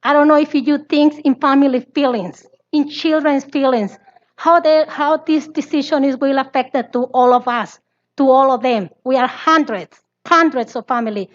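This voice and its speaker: A high-voiced woman with a Spanish accent speaks as a parent at a public hearing. She pleads earnestly in halting English. The audio is slightly dull. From Latin America. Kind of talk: public comment speech.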